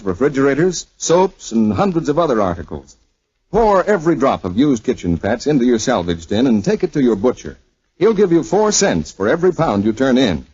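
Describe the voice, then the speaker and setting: A man's voice: mid-range voice, a little mellow, husky, no echo. A man reading a scripted sponsor announcement as a 1940s radio announcer. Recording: dry, muffled 1940s radio recording.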